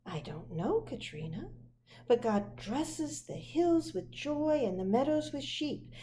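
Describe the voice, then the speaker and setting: Lady playing a patient parent in a kids book, really slow, gentle and reassuring. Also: high voice, very animated, heavily acted. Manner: measured, reassuring. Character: gentle, patient parent answering a young child. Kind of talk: children's picture book read aloud.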